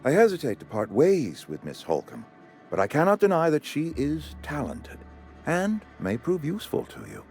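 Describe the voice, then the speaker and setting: An actor playing a pompous, courteous company administrator in a video game, speaking in a formal, wordy, officious way. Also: male voice, a little high, wildly swooping pitch, moderate pace, darkish tone, faint music behind. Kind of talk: video game character acting.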